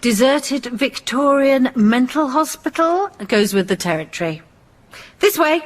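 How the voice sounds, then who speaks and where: Radio drama: mischievous, teasing young female, high and very animated, breezy and sardonic. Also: unhurried, no room sound. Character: brash, teasing, mischievous young woman actor. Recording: noisy atmospheric sound behind.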